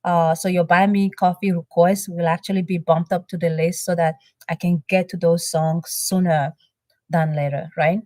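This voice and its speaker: Low-voiced woman chatting live. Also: little pitch movement, moderate pace, no echo, clean studio sound. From Benin. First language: French. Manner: chatty, warm thanks, reads chat aloud with many fillers. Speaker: reaction channel host. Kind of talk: live-stream chat with viewers.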